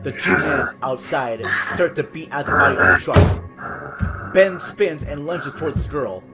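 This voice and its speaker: Animated male horror narrator over loud music. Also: a little high, a little slow, dull audio. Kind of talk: scripted dramatic horror narration. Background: loud music and sound effects.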